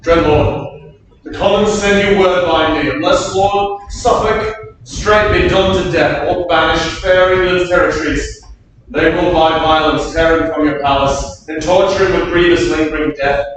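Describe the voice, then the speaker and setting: A man's voice: a little high, fairly even intonation, measured pace, a bit bright, a little hiss or hum. A man reading an earnest messenger lord. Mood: urgent. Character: earnest male lord bearing a message. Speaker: actor in staged reading. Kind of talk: staged Shakespeare reading. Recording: echoey room, distant mic.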